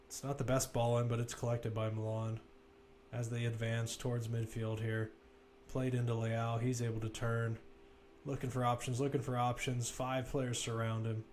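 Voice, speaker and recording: A man's voice: mid-range voice, little pitch movement, normal speed, darkish tone, a little hiss or hum. A man giving casual, conversational live play-by-play commentary on a football match, with wry asides.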